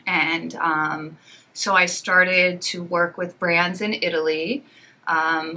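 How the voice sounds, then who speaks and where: A woman yoga teacher chats as a podcast guest, rambling through stories with plenty of fillers. Her voice sits a little low but rings very bright and forward. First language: English. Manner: chatty, rambling storyteller full of fillers. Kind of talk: casual podcast conversation.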